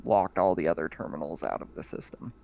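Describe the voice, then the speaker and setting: A man narrating as game master in a relaxed, chatty way. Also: low-pitched, a little fast, gravelly.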